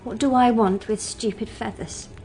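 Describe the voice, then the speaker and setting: An actress playing a proud, defiant, sharp-tongued young gentlewoman in a radio drama, haughty and argumentative. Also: on the high side, fairly even intonation, normal speed, faint music behind, natural voice. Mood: bitter, scornful. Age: young adult.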